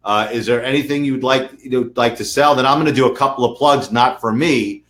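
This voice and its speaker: A man's voice: mid-range voice, fairly quick, a bit bright. Emphatic man with a New York accent. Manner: emphatic, repeats words, frequent "okay" and "um". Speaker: webinar host, lawyer. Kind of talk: casual webinar talk.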